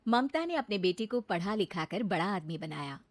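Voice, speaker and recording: A woman's voice: mid-range voice, animated, normal speed, dry recording. A woman narrating a scripted moral story as the storyteller.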